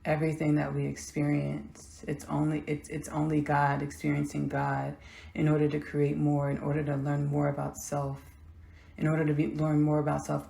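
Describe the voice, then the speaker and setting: A woman from Texas, hosting a podcast and delivering a slow, halting spiritual monologue with long pauses. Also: sits low, vocal fry, warm tone, echoey room. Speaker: podcast host.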